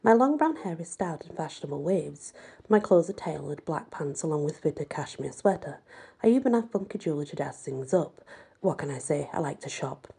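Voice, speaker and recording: A woman reading a first-person story aloud with expressive, dramatic delivery and a husky, breathy voice. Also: low voice, a little fast. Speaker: amateur audiobook reader.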